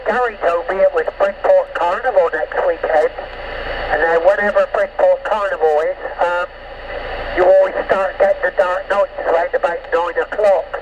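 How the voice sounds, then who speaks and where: A man from England chatting over CB radio in a friendly way, addressing the other speaker by name again and again. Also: sits high. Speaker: CB radio net participant. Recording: dull radio-transmitted audio with static.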